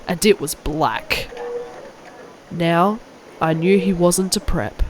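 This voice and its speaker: A moody teenage goth girl, acted by a woman tells her cartoon story with plenty of melodrama. The voice sits a little low, and some noise runs behind it. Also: slow, poor audio. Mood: gloomy. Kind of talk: scripted narration of a parody cartoon. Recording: clearly audible background noise.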